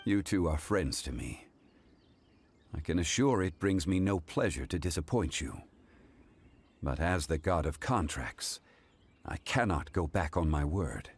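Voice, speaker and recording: A man voicing an ancient, dignified male god who speaks in a measured, formal, grave way. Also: deep voice, animated, breathy edge, exaggerated voice. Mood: regretful.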